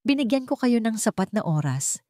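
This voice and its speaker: A woman voicing a stern, wealthy older father-in-law in a narrated story, measured, solemn and authoritative. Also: fairly high pitch, sing-song, warm tone, dry recording, studio quality, pitched above the performer's own voice. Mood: cold, commanding. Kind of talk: acted dialogue in a narrated story.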